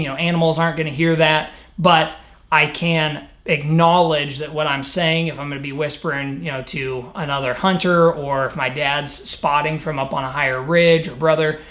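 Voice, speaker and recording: A man's voice: a little high, fairly bright tone. A man giving a casual, conversational product review of outdoor gear, explaining things in a matter-of-fact way. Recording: roomy echo.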